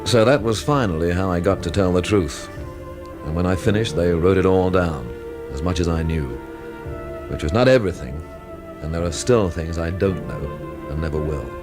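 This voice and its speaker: Jaded boozy storyteller, radio play, low voice, dry and brooding, bit of music behind. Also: male voice, lively intonation, moderate pace. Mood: resigned. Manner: dry, sardonic, literate phrasing. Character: world-weary, wry hard-drinking male storyteller, brooding and sardonic. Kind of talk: radio drama narration and dialogue.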